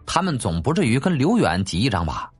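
A man's voice: medium pitch, big pitch swings, fairly quick, husky, soft background music. A man narrating an audiobook in a dramatic, scripted storytelling style.

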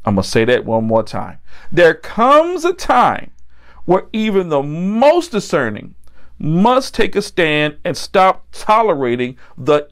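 A middle-aged American man preaching a sermon, phrasing deliberately and repeating key lines for emphasis. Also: a little high, a bit bright. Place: indoor set with a decorated backdrop.

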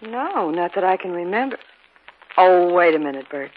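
An actress playing Marcia, an impressionable wife in a 1950s radio drama. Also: female voice, fairly low pitch, animated, normal speed. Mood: pleasant, curious. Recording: dull, noisy vintage radio recording.

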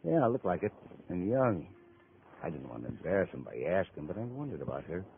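Friendly gruff american hotel dick, lowish swingy voice, 1950s radio, thin sound. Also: male voice, very animated, a little fast, heavily acted. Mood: sober, puzzled. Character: male hotel house detective, gruff but friendly. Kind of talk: 1950s radio drama acting. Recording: tinny, noisy old radio recording.

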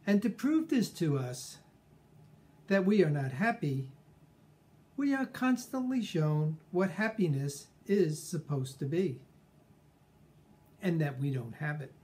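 Slow-talking older man with a mellow voice. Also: fairly high pitch, animated. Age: 60s or older. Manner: deliberate, measured phrasing with pauses. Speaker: personal growth speaker. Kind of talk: reflective scripted monologue. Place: small furnished room at home, indoors.

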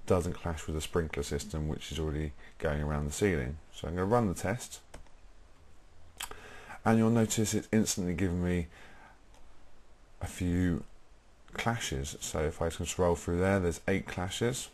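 A young British man presenting a software tutorial, explaining informally step by step in a husky voice. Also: deep voice, unhurried. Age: young adult.

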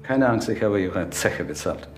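An actor playing a polite, composed businessman and father who speaks in a measured, formal way in a TV crime drama. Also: on the low side, lively intonation, over music, close to an everyday voice. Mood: calm, courteous. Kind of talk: naturalistic TV crime drama acting.

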